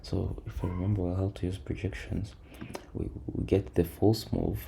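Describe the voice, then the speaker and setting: A man with a low, creaky voice gives casual, hesitant, low-energy commentary as he works through a trading screen, repeating words as he thinks aloud. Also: level tone, moderate pace, mellow. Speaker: trader recording a backtesting session. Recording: noisy room, keyboard and typing sounds.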